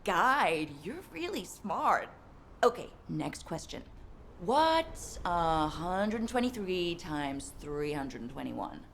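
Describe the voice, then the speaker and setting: An actress playing an excitable, curious, outspoken young woman engineer, chatty and exuberant, in a video game. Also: on the low side, slow pace, creaky, slight background noise, exaggerated voice. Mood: playful, fascinated. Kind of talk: video game cutscene acting.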